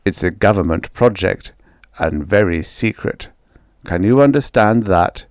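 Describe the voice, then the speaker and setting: A man's voice: low voice, lively intonation, a little slow, a little mellow, no echo, studio quality. A man voicing a stern, proud middle-aged father in a told story, firm and matter-of-fact.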